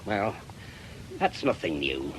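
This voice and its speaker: A man playing a stubborn, embittered old retired police inspector in a radio drama, gruff and insistent. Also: low voice, big pitch swings, takes it slowly, fairly bright tone, slight background noise, heavily acted, poor audio. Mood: bitter, defiant. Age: older.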